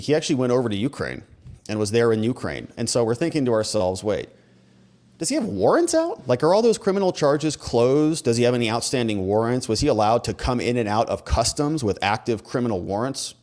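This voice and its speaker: A man's voice: mid-range voice, very animated, a little fast, darkish tone. A man with an American accent hosting a casual live commentary stream, talking conversationally and informally as he reads and answers messages. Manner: informal, conversational, rhetorical questions. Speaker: host, legal commentator. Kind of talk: casual livestream commentary, reading viewer messages.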